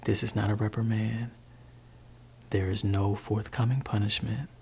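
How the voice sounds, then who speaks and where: A man voicing a gentle, laid-back father in an ASMR roleplay, talking softly and whispering to his teenage child in a teasing way. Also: flat delivery, moderate pace, a little hiss or hum, lightly acted. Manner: soft-spoken, shifting between low voice and whisper. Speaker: ASMR creator.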